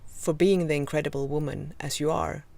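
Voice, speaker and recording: A woman in her fifties with a Swedish accent, hosting a live video Q&A and gently encouraging her viewers. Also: low voice, fairly even intonation, vocal fry, no echo. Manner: gentle, encouraging, slow and reflective with many pauses. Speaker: host.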